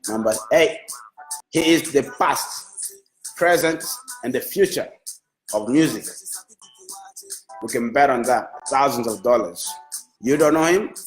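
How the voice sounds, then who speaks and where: A man's voice: medium pitch, poor audio. A man whose first language is Swahili, a music manager talking on a Facebook live, enthusiastic and repetitive, restarting his phrases as he praises an artist. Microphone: phone.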